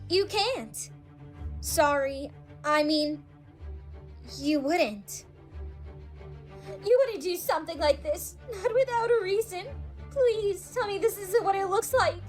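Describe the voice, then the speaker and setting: A woman's voice: very high-pitched, slow, pauses often. A woman voicing a sweet young female robot girl who pleads and stammers. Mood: pleading. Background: music score.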